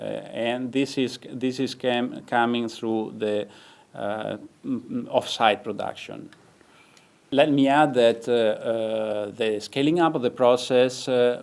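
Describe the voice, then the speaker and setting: A man's voice: medium pitch, vocal fry, darkish tone. A man with an Italian accent, a company CEO answering interview questions with frequent hesitations and restarts. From Italy. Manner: hesitant, many fillers and restarts.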